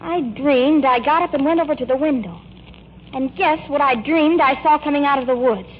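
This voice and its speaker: An actress playing an eager, blunt, tattling little sister in a 1940s radio comedy, with a childlike, artless manner. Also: female voice, sits high, normal speed, natural voice. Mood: eager, teasing. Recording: muffled, hissy old radio broadcast.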